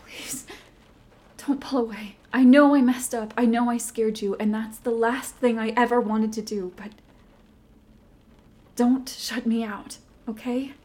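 A woman's voice: fairly high pitch, fairly even intonation, measured pace, a little mellow, close to an everyday voice. A woman playing a young girlfriend with a temper in a listener-directed audio roleplay, speaking emotionally and earnestly straight to the listener. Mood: soft apologetic.

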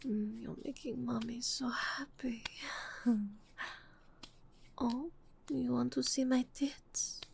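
A woman whispering a teasing, breathy roleplay, slipping in French endearments and soft laughs. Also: fairly high pitch, slight background noise. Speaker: audio roleplay performer.

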